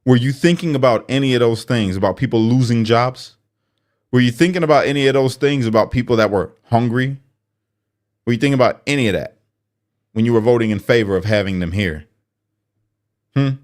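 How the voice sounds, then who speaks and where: A man's voice: medium pitch, fairly quick, pauses often. A man hosting political commentary, speaking bluntly and sarcastically as if straight to the person he criticizes. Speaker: commentary host.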